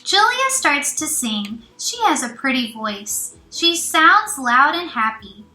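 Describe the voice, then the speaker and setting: High, bright woman reading slowly to children. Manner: expressive, child-directed, with encouraging asides. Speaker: teacher reading aloud. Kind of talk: children's storybook read-aloud. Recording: roomy echo, faint music.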